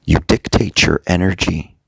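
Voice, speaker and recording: A man with an extremely low, creaky voice leads a guided meditation as a coach. He speaks slowly and deliberately, leaving long pauses between short phrases. The gravelly, husky sound is captured close and dry. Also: steady tone, a bit bright, no room sound, rough recording. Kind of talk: guided meditation, soft motivational talk.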